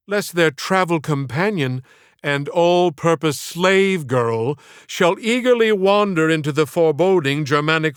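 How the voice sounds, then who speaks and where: A man's voice: a little high, clear, resonant tone, dry recording, clean studio sound. A man voicing an exasperated, defiant young woman giving a florid, declamatory audiobook speech.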